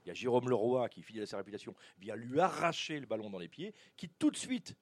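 Middle-aged man, sports journalist, very animated intonation, husky, halting, repetitive starts. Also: medium pitch, no echo. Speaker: football journalist panelist. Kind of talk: animated anecdotal recollection.

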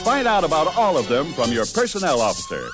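A man's voice: on the high side, huge pitch swings, a little fast, loud music behind the voice. A man reading a scripted public service announcement for radio.

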